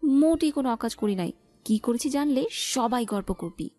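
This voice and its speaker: A woman's voice: fairly high pitch, animated, moderate pace, warm tone, faint music behind, pitched above the performer's own voice, close to an everyday voice. A woman voicing an eager, affectionate and independent young daughter in an audio story. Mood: happy, proud. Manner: affectionate, earnest.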